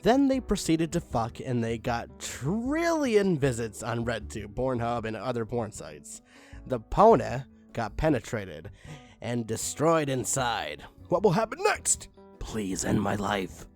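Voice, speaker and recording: A man's voice: mid-range voice, takes it slowly, faint music behind. Mocking man reading a fanfic aloud. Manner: mocking, deadpan asides, reads punctuation aloud. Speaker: reader of online stories. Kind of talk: comedic dramatic reading with commentary.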